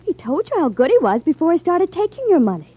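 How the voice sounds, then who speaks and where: A woman's voice: sits high, sing-song, quick pace, dry recording. An actress playing a naive, wide-eyed young American woman, eager and bubbly, in a 1950s radio drama. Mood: delighted. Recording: dull 1950s radio recording.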